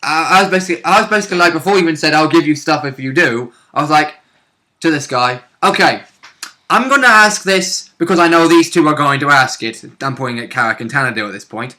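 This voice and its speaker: A man chatting casually as a player in a tabletop game recap, jokey and quick to interject. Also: on the high side, animated, bright, forceful tone. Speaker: player and co-host of tabletop role-play recap. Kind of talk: casual chat.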